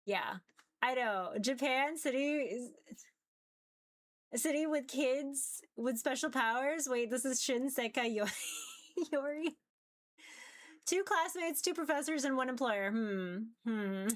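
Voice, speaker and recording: A woman with a somewhat high voice talks to her livestream viewers. She goes slowly, in a loose, rambling and informal way. Her manner is giggly, like someone hanging out with chat during a game stream. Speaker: visual novel streamer.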